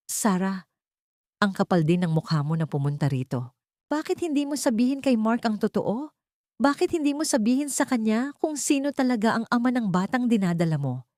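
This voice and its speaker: A woman's voice: a little high, expressive, moderate pace, darkish tone, dry recording, studio quality, pitched above the performer's own voice, close to an everyday voice. A woman voicing a young heiress who speaks firmly and with scorn, in a storybook reading. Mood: cold, scornful. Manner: firm, scornful. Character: young wealthy heiress, cold and cutting.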